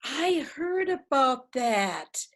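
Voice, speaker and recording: An actress reading the part of a mild, forgetful widow who accepts old age, in a readers theatre play. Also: female voice, high voice, sing-song, fairly bright tone, heavily acted. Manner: hesitant, slow and mild. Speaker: actor.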